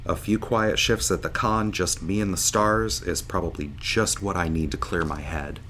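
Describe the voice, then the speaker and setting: A man's voice: low voice, normal speed, fairly bright tone, slight background noise. A man acting in an audio drama as a jovial, bewildered starship pilot just woken from a long sleep, informal and earnest. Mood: hopeful. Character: jovial, bewildered starship pilot waking after seventeen years asleep.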